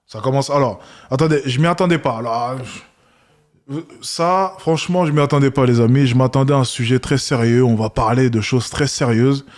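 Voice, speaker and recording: Guy on a live stream, wry and halting, mid-pitched voice. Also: darkish tone. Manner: hesitant, wry, many restarts. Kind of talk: casual live-stream commentary.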